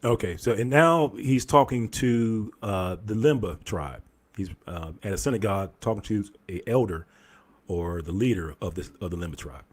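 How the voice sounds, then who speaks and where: A man's voice: fairly low pitch, moderate pace. A man hosting a channel, talking casually over video clips and addressing his viewers as family. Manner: loose, informal, addresses viewers as fam. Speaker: commentary host.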